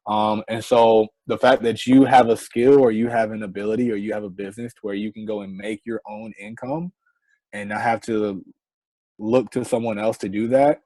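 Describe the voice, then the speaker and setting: A man's voice: fairly low pitch, darkish tone, no echo. A man in his twenties, a guest entrepreneur on an online interview, giving earnest advice and often tagging lines with "right?". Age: 20s. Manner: earnest, repeats "right?" as a tag.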